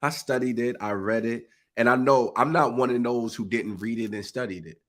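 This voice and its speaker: A man's voice: mid-range voice, normal speed, a bit bright. A man on a live stream, stuffy and congested, preaching emphatically in informal speech. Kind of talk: impassioned live monologue.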